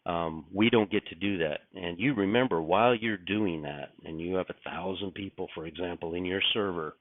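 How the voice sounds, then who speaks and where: Raspy man, just up, low pitch, rambling and musing, tinny dry recording. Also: moderate pace, no room sound. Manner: rambling, musing, frequent restarts and fillers. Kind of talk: casual spoken reflection. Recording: dry, tinny phone-like sound.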